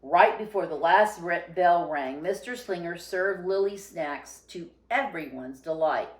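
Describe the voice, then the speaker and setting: A woman reading a children's story aloud in an expressive narrating style. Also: on the low side, measured pace, echoey room. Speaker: storybook reader.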